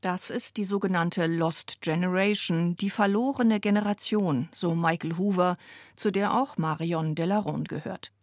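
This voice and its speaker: A woman narrates a radio report in a clear, even way. Her voice sits a little low for a woman. The recording is close and dry. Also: normal speed, no echo.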